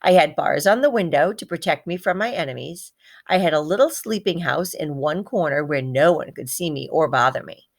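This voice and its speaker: A woman reading a children's story aloud in an expressive, animated manner. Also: sits low, normal speed.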